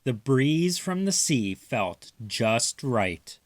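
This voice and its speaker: Slow, animated man reading a children's story. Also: mid-range voice, dry recording. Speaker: storybook reader. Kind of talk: children's read-aloud narration.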